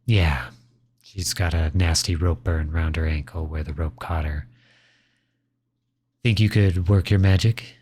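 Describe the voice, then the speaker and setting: A man playing a kindly, teasing outlaw in an intimate ASMR roleplay, gentle and reassuring. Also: sits low, steady tone, a little slow, long pauses, breathy edge. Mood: soothing. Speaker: audio roleplay voice actor.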